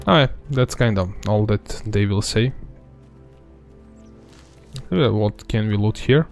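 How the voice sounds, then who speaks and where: Relaxed Serbian-accented male game streamer. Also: fairly low pitch, slow pace, creaky, faint music behind. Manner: relaxed, rambling, many fillers. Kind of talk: live gameplay commentary.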